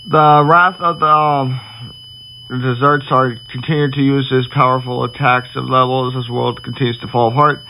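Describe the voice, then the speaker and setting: Man playing a halting, solemn government spokesman, noisy recording. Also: medium pitch, fairly even intonation, bright, forceful tone. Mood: halting, regretful. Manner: halting, stumbling, repeats himself. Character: grave government spokesman announcing the end of the world. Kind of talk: solemn emergency broadcast address.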